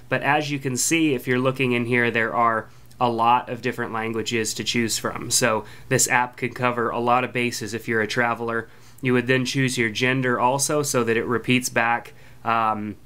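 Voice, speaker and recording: Bright, smooth-voiced male podcast host. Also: medium pitch. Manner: relaxed, filler-heavy, friendly. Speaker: podcast host reviewing an app. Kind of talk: casual product review voice-over.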